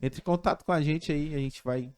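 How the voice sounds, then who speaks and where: A male co-host with a slightly raised pitch, thanking and plugging sponsors in a casual, easygoing way. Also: brisk, darkish tone, smooth. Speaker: podcast co-host. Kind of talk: reading sponsor shout-outs.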